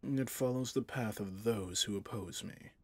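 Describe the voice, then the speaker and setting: An actor playing a possessive, manipulative villain prince in an ASMR roleplay drama, speaking softly in measured, courtly phrases with a breathy, husky voice. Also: male voice, on the low side, dry recording. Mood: coolly genteel.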